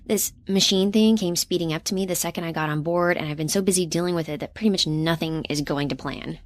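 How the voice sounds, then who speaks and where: A woman's voice: fairly low pitch, quick pace, no echo, close to an everyday voice. A woman playing an earnest, awkward scientist in a naturalistic science fiction audio drama, chatty and a little hesitant. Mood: chatty, sheepish. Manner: hesitant, chatty, rambling, self-correcting.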